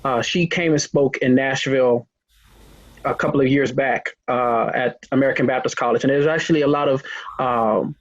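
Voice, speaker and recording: A man's voice: medium pitch, fairly even intonation, normal speed, dry recording. A man interviewing a guest, talking in a rambling, hesitant way with many restarts. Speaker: interviewer, host. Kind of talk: casual interview. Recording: muffled lo-fi recording.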